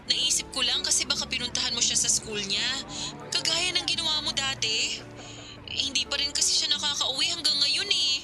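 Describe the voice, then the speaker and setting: A woman's voice: high-pitched, fairly quick, loud music behind the voice, natural voice. A woman with a Filipino accent playing a concerned, reasoning older sister and mother in a radio drama. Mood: worried, subdued.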